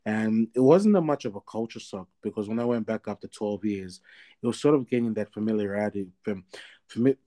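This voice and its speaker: A man's voice: on the low side, animated, a little fast, dark tone. A man in his thirties from Australia, a guest in a casual interview, talking in a rambling way with many fillers and restarts. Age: 30s.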